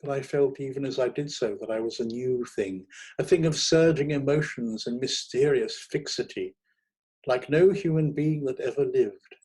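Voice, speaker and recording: A man narrating a literary short story in a theatrical, expressive manner. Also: mid-range voice, moderate pace, breathy edge, poor audio. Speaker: reader of short stories.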